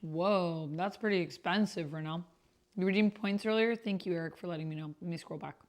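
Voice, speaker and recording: Low-voiced woman streaming a roleplay game. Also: fairly quick. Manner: thinks aloud while reading and typing. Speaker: streamer playing a text-based roleplay game. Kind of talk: casual livestream chat while reading out typed roleplay. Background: computer keyboard typing.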